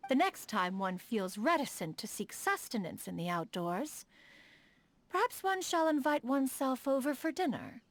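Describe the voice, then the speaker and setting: A proud adeptus noblewoman and inventor for a video game: high, expressive delivery, stately archaic phrasing. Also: dry recording. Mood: gracious, self-assured. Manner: formal, archaic, lofty diction, speaks of herself as "one". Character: proud, refined immortal adeptus woman, inventor of mechanical contraptions. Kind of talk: scripted video game character dialogue.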